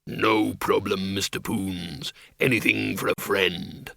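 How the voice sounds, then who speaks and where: A man voicing a huge, hairy, cheerful bogeyman in a slow, matey, eager manner for a storybook. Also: fairly low pitch, little pitch movement, vocal fry, pushed and bright, no room sound, studio quality, pitched below the performer's own voice, full character voice. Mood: friendly. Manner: slow, friendly, matey delivery.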